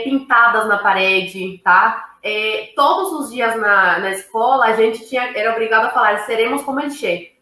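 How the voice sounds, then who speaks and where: A woman's voice: on the high side, sharp tone, echoey room, poor audio. A woman from Cuba, speaking Portuguese as an interview guest in an emphatic, casual way, often adding "né" and "sabe". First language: Spanish. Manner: emphatic, frequent "né" and "sabe" tags.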